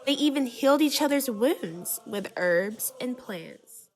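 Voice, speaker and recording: A woman reading a children's book aloud as expressive, rhythmic, dramatic storybook narration. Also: on the high side, slow pace, poor audio. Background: music.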